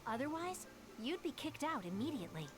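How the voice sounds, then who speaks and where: A woman playing an earnest young female refugee in a video game, telling things in a measured, sincere way. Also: sits high, big pitch swings. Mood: grave. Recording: game music behind the voice.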